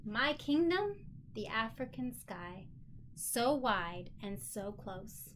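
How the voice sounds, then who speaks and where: A woman's voice: a little high, big pitch swings, slow, fairly bright tone, a little hiss or hum. A woman teaching children in an encouraging, simply worded way, like a teacher leading a lesson and read-aloud. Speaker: special education teacher.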